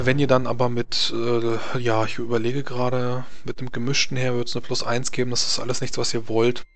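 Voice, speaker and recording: A man speaking as a game master, explaining tabletop game rules in a conversational way. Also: mid-range voice.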